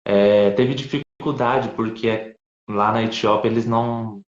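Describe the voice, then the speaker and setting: A man's voice: fairly low pitch, steady tone, a little slow. A man, a missionary guest on a video call, adding facts in short, matter-of-fact remarks. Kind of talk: casual conversation. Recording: echoey, lo-fi call audio.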